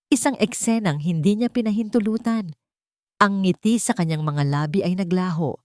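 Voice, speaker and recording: A woman with a Filipino accent narrating a scripted audio drama in a deliberate, dramatic way, phrase by phrase. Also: lowish voice, warm tone, dry recording, clean studio sound. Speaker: storyteller.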